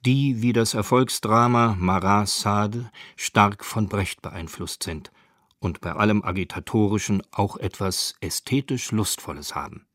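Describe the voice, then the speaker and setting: A man's voice: lowish voice, a little slow, fairly bright tone, no echo, studio quality. A man with a standard German accent reading scripted narration for a radio documentary.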